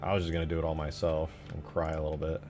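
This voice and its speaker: A man's voice: extremely low pitch, completely flat delivery, racing, darkish tone, soft background music. A man chatting casually as a streamer at work, thinking aloud in informal, slangy fragments with casual swearing. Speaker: game modder streaming their work. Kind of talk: casual livestream chat while working.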